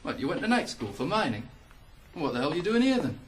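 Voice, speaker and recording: A man's voice: on the high side, sing-song, fast. An actor in a 1980s radio drama playing a bossy, ambitious ploughman who instructs a young lad in a brusque, teasing way. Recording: noisy 1980s radio broadcast recording.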